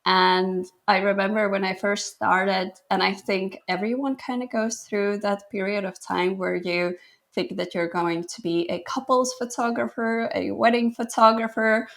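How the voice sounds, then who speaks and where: Twenties woman from latvia, talks slow, lots of vocal fry, modest and laughy. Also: medium pitch, steady tone. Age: 20s. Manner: modest, laughs often, unhurried storytelling. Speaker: guest photographer. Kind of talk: casual interview chat.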